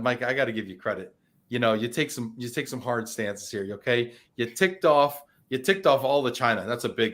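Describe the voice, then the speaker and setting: A man hosting a live interview show at an everyday pitch. he teases his guest in a playful way and reads viewer comments aloud. he often restarts his sentences mid-thought. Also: fairly bright tone. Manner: playful, teasing; frequent restarts and fillers. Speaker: show host.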